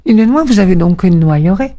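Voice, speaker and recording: A woman's voice: mid-range voice, animated, fairly quick, warm tone. A woman co-hosting a radio interview in a casual, conversational way. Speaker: radio co-host.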